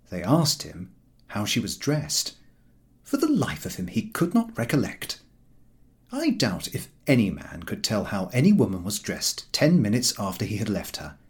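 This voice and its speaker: A male audiobook reader narrates a comic novel in a mid-pitched voice. His intonation swings extremely widely, giving the storytelling an expressive, theatrical feel.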